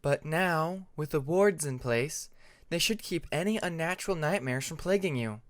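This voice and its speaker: A man voicing a quiet, kind young female sea dragon in an audiobook, gentle and apologetic. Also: fairly high pitch, animated, normal speed, no echo, close to an everyday voice. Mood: explaining.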